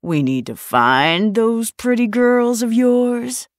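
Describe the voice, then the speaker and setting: A woman voicing a male gunman who talks in a slow, sleepy drawl laced with menace. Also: on the high side, big pitch swings, no echo, clean studio sound, pitched above the performer's own voice. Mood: menacing.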